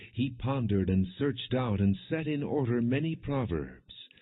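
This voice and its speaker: A man narrating an audiobook of scripture in a measured, solemn, deliberate way. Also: deep voice, breathy edge. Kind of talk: scripted scripture reading, non-dramatized audiobook. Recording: muffled, narrow phone-like sound.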